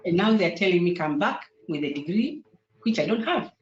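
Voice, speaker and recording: A woman's voice: lowish voice, very animated, normal speed. A woman from Tanzania whose first language is Sukuma, speaking as a guest on an online panel, with hesitant speech full of fillers and restarts. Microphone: video call. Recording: roomy, dull video call audio.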